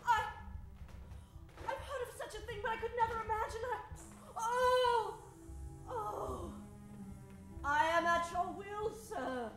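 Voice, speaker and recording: Captive damsel, melodramatic, very high-pitched, echoey stage, old-time radio serial. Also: female voice, takes it slowly, long pauses, fairly bright tone, soft background music, heavily acted. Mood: alarmed, submissive. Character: melodramatic captive damsel under a villain's spell. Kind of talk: comic old-time radio adventure acting.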